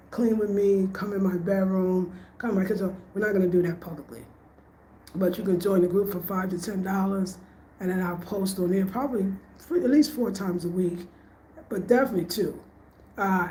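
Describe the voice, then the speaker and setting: Mellow-voiced woman in her late fifties. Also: medium pitch, slight background noise. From United States. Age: 50s. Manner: chatty and reflective, addresses viewers as y'all. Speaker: vlogger. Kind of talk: casual chat to viewers. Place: home room in Ghana. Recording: echoey room, faint ambience.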